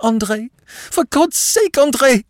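A man's voice: extremely high pitch, expressive, slow, dry recording, clean studio sound, voice pushed up, exaggerated voice. A man voicing a desperate French noblewoman in an audiobook, breathless, imploring and overwrought. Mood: frantic. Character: desperate pleading French noblewoman, panting, near hysteria. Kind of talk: dramatic audiobook character acting.

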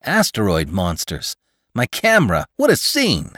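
A man's voice: lowish voice, wildly swooping pitch, measured pace, pushed and bright, dry recording, studio quality, exaggerated voice. Man playing a nervy, sardonic news photographer. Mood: excited shouting. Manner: exclamatory, sarcastic, grumbling. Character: nervous, sardonic male photographer in mortal danger. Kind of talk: dramatised audiobook character voice.

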